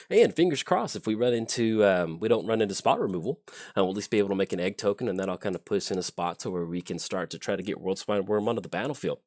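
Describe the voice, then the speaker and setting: A man talking casually through a card game on his channel, thinking aloud with a lot of ums and restarts, his voice slightly raspy from a chest cold. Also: low-pitched, no room sound, clean studio sound. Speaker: YouTube gameplay commentator. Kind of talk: casual gameplay commentary.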